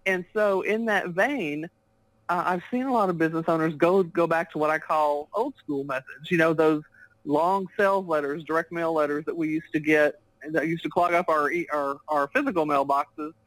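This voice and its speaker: A woman's voice: deep voice, moderate pace, dry recording, rough recording. Chatty Texan woman, dry close sound. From Texas, US. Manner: chatty and fluent, often laughs while talking. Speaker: guest author and business coach. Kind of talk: conversational interview answers. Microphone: remote call.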